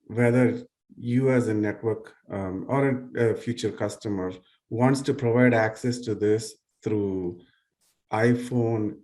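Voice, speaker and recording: A man's voice: mid-range voice, darkish tone. A man on a discussion panel adding brief, informal remarks.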